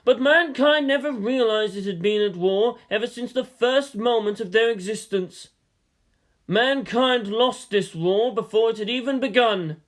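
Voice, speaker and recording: A man acts out a grave military teacher in an audiobook-style story, philosophizing on war-room matters. The voice is pitched very high for a man, with measured, speechmaking delivery. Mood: grave, resigned.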